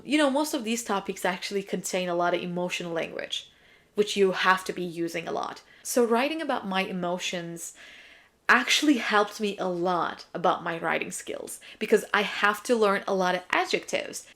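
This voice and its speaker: A woman's voice: medium pitch, moderate pace, a bit bright. Chatty young woman, native Turkish speaker. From Turkey. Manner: chatty, emphatic, self-described yapper. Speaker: English teacher and video host. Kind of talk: casual vlog chat, answering viewer questions.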